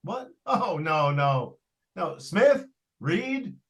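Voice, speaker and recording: A man's voice: on the high side, big pitch swings, very slow, a bit bright, full character voice. A man playing an eager, talkative property salesman in old-time radio suspense style, with a folksy, persuasive manner. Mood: puzzled, calling out. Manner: folksy, persuasive, colloquial phrasing. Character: eager, talkative salesman trying to unload a haunted house.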